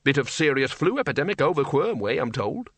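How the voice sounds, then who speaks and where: A man's voice: medium pitch, big pitch swings, brisk, a bit bright, husky, dry recording, exaggerated voice. A man voicing a blunt, breezy wizard dean in an audiobook, matter-of-fact and forthright. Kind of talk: audiobook character voice.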